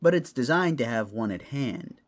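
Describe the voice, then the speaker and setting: A man acts out a clawed, furry fantasy steward with a very animated, mid-pitched voice that rises and falls freely. He is a theatrical, scholarly tinkerer, given to grumbling and long explanations. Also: normal speed, lightly acted. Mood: reassuring. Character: furred, clawed male creature, a roguish scholarly artifact-tinkering steward. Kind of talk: fantasy audio drama acting.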